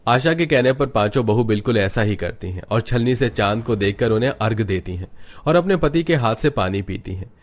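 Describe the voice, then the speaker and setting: A male narrator with a fairly low voice. He reads scripted storytelling for a cartoon family tale. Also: animated, brisk.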